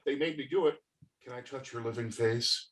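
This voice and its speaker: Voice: medium pitch, brisk, lightly acted. A performer playing a gloating, doomed masked criminal with theatrical mockery in a comedic script reading. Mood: frantic. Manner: theatrical, mocking, swinging into shouts. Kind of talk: comedic script table read. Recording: distant, echoey video call audio.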